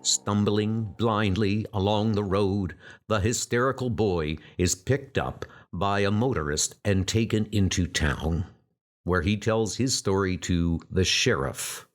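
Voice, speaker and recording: A man's voice: on the low side, slow. A man narrating a horror comic story in a dramatic, scripted storytelling style. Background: music.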